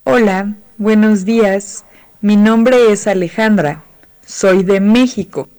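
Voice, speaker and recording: A woman from Mexico greets listeners slowly and carefully. Her voice is mellow and mid-range, and the recording is dry. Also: medium pitch, dry recording, poor audio. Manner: slow, careful self-introduction.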